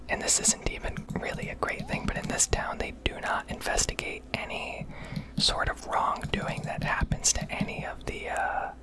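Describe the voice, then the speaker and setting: Woman whispering a rambling ASMR monologue. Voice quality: breathy, unvoiced whisper. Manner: rambling, conversational, swears casually. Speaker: video host.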